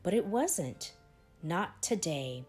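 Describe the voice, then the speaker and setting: A woman reading a children's story aloud in a deliberate, expressive way with dramatic pauses. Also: lowish voice, husky. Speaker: storybook reader, channel host. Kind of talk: children's read-aloud, scripted narration. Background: background music.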